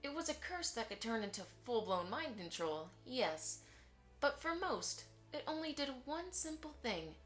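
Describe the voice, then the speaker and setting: A woman's voice: fairly high pitch, sing-song, unhurried, fairly bright tone, faint music behind. A woman playing a formal, courteous and pointedly inquisitive pony publisher in a fan audio drama. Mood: probing, insinuating. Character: polite, prying pony publisher, a probing female socialite.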